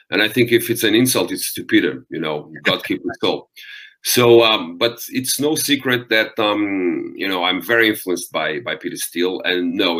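Deep-voiced Portuguese metal singer for an interview, low and bright, hesitant pauses. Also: male voice. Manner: thoughtful, frequent ums and restarts. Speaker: metal vocalist guest. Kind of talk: interview conversation.

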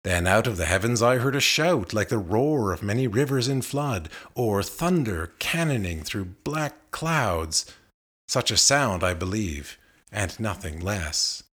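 A man with a fairly low voice reads narrative verse as a literary recitation. He takes it very slowly, phrasing each line with care. His intonation is highly varied, giving key words expressive weight. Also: husky. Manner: measured, deliberate phrasing with expressive emphasis. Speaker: reader of poetry.

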